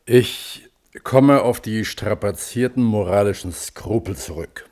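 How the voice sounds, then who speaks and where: Exacting examiner, radio drama, lowish voice, sceptical, precise formal questioning. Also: male voice, slow, darkish tone. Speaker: questioner at a hearing. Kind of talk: German radio play, formal hearing.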